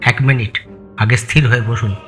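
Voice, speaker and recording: Man acting a brisk, skeptical police sergeant, bright voice. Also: medium pitch, fairly even intonation, soft background music, natural voice. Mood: calm, probing. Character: calm, skeptical male police sergeant on duty. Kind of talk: audio story acting.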